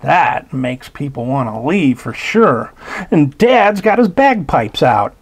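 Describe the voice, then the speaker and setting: A man reads a children's picture book aloud, taking his time and stopping to comment on the pictures. His voice has a gravelly, husky edge. It swoops up and down with lots of expression. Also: fairly high pitch, sing-song, takes it slowly. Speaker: storybook reader. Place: indoors at a table.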